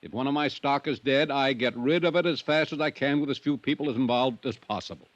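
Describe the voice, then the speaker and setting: An actor playing a domineering, defiant horse farm owner, blunt and imperious, in old-time radio drama style. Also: male voice, mid-range voice, brisk, dry recording, close to an everyday voice. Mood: curt, firm. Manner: blunt, imperious, barking orders. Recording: muffled vintage radio recording.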